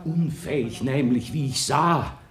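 An actor playing an absurdly earnest, solemn accident witness in a radio play monologue, in an echoey room. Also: male voice, mid-range voice, unhurried, close to an everyday voice. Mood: solemn, shaken.

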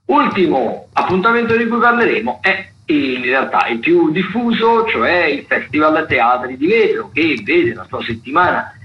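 A man's voice: on the high side, very animated, normal speed, hardly any pauses, lo-fi sound. A man hosting a radio programme, reading out listings in a semi-scripted way, often breaking off and correcting himself. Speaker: radio host of a theatre programme. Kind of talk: semi-scripted spoken listings of theatre shows. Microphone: radio studio microphone.

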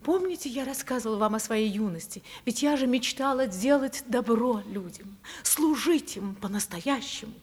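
A woman's voice: a little high, lively intonation, moderate pace, few pauses, slightly rough. An actress in a radio play playing a passionate married woman in forbidden love, theatrical and yearning.

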